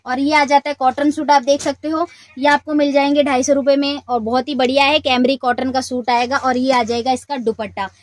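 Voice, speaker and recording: A woman's voice: high voice, flat delivery, fairly quick, no room sound. A woman hosting a shop video who shows suits one after another and talks in a chatty, repetitive sales patter. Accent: Hindi speaker from North India. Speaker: shop video host showing wholesale suits. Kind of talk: product showcase sales pitch.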